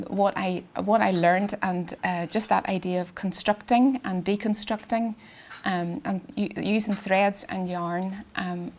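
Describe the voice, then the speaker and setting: A woman, an artist giving a public talk in a quiet, soft voice, hesitant with many ums and restarts, and she has a cold. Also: medium pitch. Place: garden event space with sound system.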